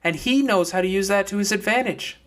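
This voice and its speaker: A man voicing an excitable young girl in a deer mask for an audiobook, speaking in an urgent, conversational way. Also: sits high, brisk, pitched above the performer's own voice. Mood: hesitant, urgent.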